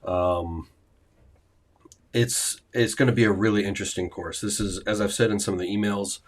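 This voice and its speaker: A man's voice: lowish voice, fairly even intonation, normal speed. A man hosting a language-learning livestream, chatting casually with many fillers and restarts.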